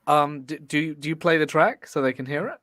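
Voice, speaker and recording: A man's voice: on the high side, a little fast, a bit bright, no room sound, clean studio sound. A man making his case as a guest in a casual debate, hesitant and rambling with many fillers. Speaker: podcast guest.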